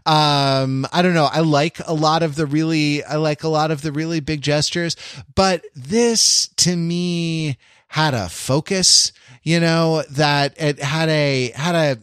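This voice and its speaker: A man hosting a podcast in casual discussion, talking in a halting, meandering way as he searches for words. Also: fairly high pitch, steady tone, fairly bright tone, clean tone, no echo, studio quality. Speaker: podcast host. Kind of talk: casual discussion of television and pop culture.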